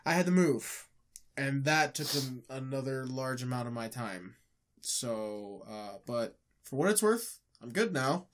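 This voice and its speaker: A man's voice: mid-range voice, animated, a little slow. A man with a stuffed-up nose, co-hosting a casual gaming podcast and rambling with many fillers and restarts. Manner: rambling, filler-heavy, self-correcting. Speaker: podcast co-host. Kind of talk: casual chat.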